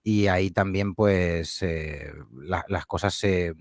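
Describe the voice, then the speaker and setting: Man, low voice, podcast guest, thoughtful, hesitant fillers, dry recording. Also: slow, no echo. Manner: thoughtful, many fillers and tag questions. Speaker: podcast guest, audio fiction producer. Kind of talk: casual interview answers.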